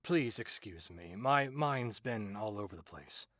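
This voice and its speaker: A man's voice: fairly low pitch, lively intonation, unhurried, fairly bright tone, no room sound, studio quality. A man playing an anguished, self-loathing cursed ex-soldier in a visual novel, weary and dramatic. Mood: weary, polite. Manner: dramatic, despairing, polite but weary.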